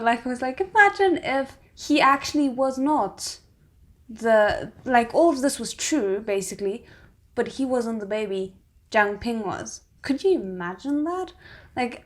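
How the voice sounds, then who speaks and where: A woman's voice: fairly high pitch, lively intonation, unhurried. A woman hosting a reaction video, giving casual commentary and thinking aloud.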